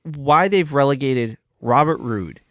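A man in his thirties co-hosting a podcast in casual chat, with short agreeing replies and animated opinions. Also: medium pitch, a little slow, no room sound, studio quality. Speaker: podcast co-host.

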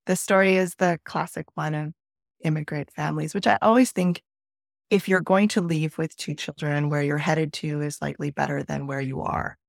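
A middle-aged woman with a Canadian accent whose first language is Spanish, telling her own story as a podcast guest in a reflective, measured way. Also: low voice, steady tone, creaky, no echo, studio quality. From northern Alberta, Canada, born in Peru. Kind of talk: personal storytelling in an interview.

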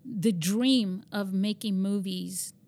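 A woman's voice: medium pitch, slow, a little mellow. A woman from Spain hosting an interview, rambling with many fillers and restarts. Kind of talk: conversational interview.

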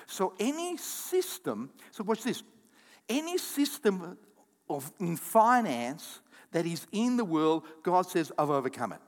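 A man preaches to his congregation in a high voice that leaps up and down with energy. He calls on the crowd to repeat words and drops in quick asides as he goes. His voice rings with a long reverb through the hall. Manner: animated preaching with audience call-outs and asides. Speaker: pastor. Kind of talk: sermon.

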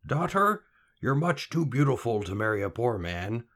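A man playing a cunning, eager old weaver father in a storybook, wheedling and excitable. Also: medium pitch, normal speed, pitched above the performer's own voice. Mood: doting.

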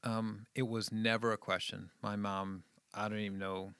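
A man's voice: on the low side, little pitch movement, normal speed, a bit bright, clear, resonant tone, no echo, clean studio sound. A middle-aged man talking casually and earnestly about his schooling and family, often trailing off. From New England, USA. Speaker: guest. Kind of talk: casual conversation.

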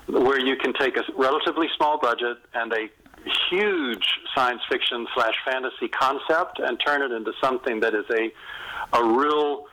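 A man's voice: medium pitch, moderate pace, sharp tone, low-quality recording. A man speaking as an author guest in a conversational podcast interview, telling stories candidly.

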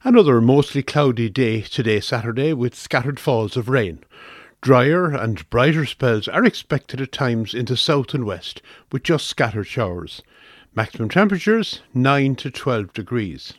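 Irish male forecast reader for local radio, mid-pitched voice, dry recording. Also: animated, unhurried, dry recording. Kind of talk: scripted weather forecast.

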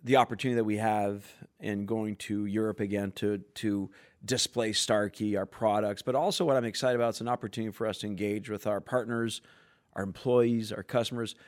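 A man's voice: lowish voice, steady tone, fairly quick. A man guesting on a podcast as a sales executive, talking about his company in an earnest, enthusiastic way. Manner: enthusiastic, earnest corporate talk. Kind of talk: podcast interview answers.

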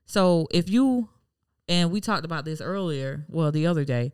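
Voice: mid-range voice, moderate pace, darkish tone, clear, resonant tone, no room sound, studio quality. A speaker in casual conversation, emphatic and exasperated, repeating points. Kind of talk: casual chat.

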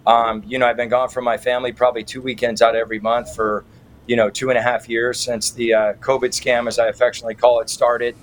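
A man's voice: mid-range voice, flat delivery, fast talker. Activist interview guest: American man, mid-range voice, irreverent and folksy, slight noise behind him. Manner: colloquial, irreverent, folksy turns of phrase. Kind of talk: conversational interview answers. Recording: clearly noisy background.

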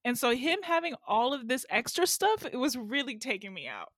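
Giggly, higher-voiced American woman chatting. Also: very animated, normal speed, fairly bright tone, no room sound. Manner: giggly, amused, reacting to the story. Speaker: podcast co-host. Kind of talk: casual comedic chat.

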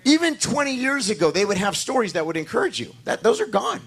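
A male pastor delivers a sermon with a hoarse voice that sits fairly high for a man. He preaches in church, pressing his points with rising, emphatic declarations. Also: big pitch swings, fast talker, fairly bright tone.

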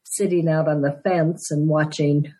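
Husky-voiced woman in her sixties. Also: low-pitched, little pitch movement, mellow. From Denver, Colorado. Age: 60s. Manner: earnest storytelling, quick laughs. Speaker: guest, author and Christmas elf. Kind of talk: conversational podcast interview.

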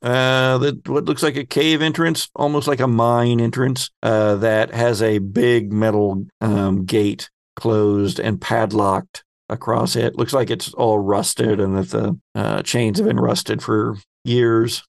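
A man acting as game master, improvising descriptive narration for a tabletop game in a halting manner. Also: medium pitch, fairly even intonation, gravelly, no echo, clean studio sound.

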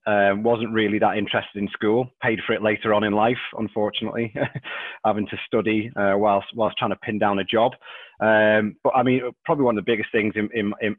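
A man's voice: on the low side, no echo. Liverpool man on a tinny line. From England. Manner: halting, repeats words, many fillers. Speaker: guest. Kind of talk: casual interview chat. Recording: dry tinny phone-like line.

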